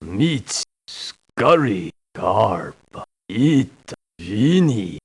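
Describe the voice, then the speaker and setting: An actor playing a folksy, cocky cowboy mercenary in a video game, with a husky voice and broken English full of western slang. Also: male voice, on the low side, very animated, drawn-out words, heavily acted. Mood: flat roll call. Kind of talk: video game character voice lines.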